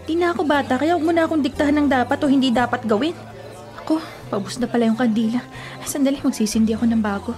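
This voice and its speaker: Actress as high-voiced, defiant younger sister. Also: female voice, quick pace, a little mellow, lightly acted. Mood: exasperated. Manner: exasperated, talks back. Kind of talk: radio drama acting. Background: music.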